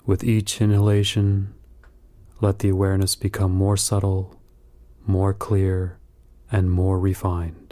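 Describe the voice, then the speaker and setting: Low, mellow male meditation guide. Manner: calm, measured, soothing instruction with long pauses.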